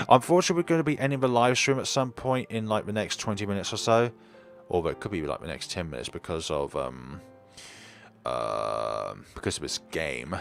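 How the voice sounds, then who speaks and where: A man with an extremely deep, gravelly voice chats slowly and calmly while streaming a game. He mutters to himself as he clicks through menus. Soft game music plays faintly behind him. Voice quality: naturally deep voice. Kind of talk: casual live-stream gameplay chat.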